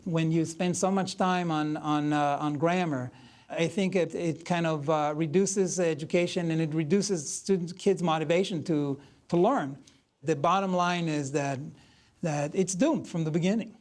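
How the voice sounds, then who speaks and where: A school board member speaking in an interview with a medium-pitched voice. The delivery is halting, with pauses and repeats while searching for the right words. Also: darkish tone. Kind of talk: interview answers.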